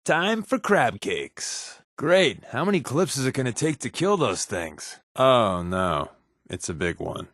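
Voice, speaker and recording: An actor voicing a wry, sarcastic undercover agent who tells playful tall tales, as companion lines for a video game. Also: male voice, mid-range voice, sing-song, a little slow, fairly bright tone, no room sound, studio quality, full character voice. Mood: combat banter, exasperated. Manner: wry, joking, sardonic.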